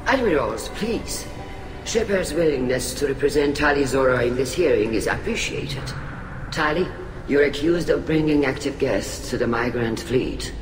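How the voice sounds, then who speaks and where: A man's voice: mid-range voice, lively intonation, natural voice. A man voicing a formal presiding alien admiral. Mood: measured. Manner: formal, measured. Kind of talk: video game cutscene dialogue. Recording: echoey game mix with background noise.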